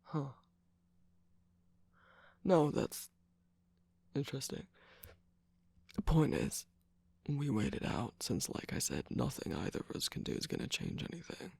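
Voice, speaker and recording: A performer voicing a flirtatious, teasing male villain's minion in a romantic ASMR roleplay, husky and breathy with a coy, halting delivery that often drops into whispers. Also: mid-range voice, sing-song, warm tone, no echo, heavily acted. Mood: teasing, persuasive.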